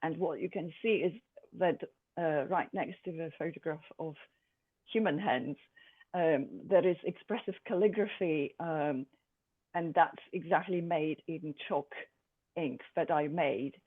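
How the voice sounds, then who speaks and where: Tinny, halting artist describing her artwork. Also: medium pitch, husky. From Poland. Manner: thoughtful, halting, many fillers. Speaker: artist presenting own work. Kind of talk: art presentation to a group. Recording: tinny phone-like sound.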